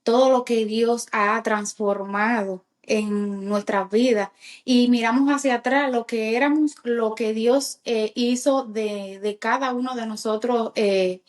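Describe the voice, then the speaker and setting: A Cuban woman in her thirties with a Cuban accent, a podcast guest telling her life story in a halting way, with many fillers. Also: on the high side, fairly even intonation. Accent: Cuban Spanish. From Cuba. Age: 30s. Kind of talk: personal testimony in casual chat.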